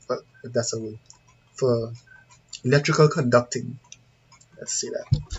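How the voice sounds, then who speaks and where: A man's voice: mid-range voice, husky. Trinidadian man, muffled lo-fi recording. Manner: conversational, plain-spoken, checks in with viewers. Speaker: CCTV installer giving a tutorial. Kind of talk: informal hands-on demonstration, talking through steps. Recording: dull lo-fi sound with background noise.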